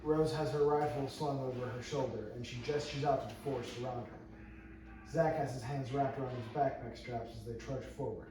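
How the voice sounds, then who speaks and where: A man's voice: mid-range voice, fairly even intonation, a little fast. A man reading stage directions aloud at a script table read, as narrator. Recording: very echoey room, distant mic, noisy.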